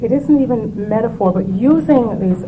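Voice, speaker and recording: A woman from the West Indies speaking thoughtfully in a panel discussion, with many pauses and ums. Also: on the high side, lively intonation, narrow, tinny audio. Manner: reflective, measured, with frequent ums. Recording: noisy room recording.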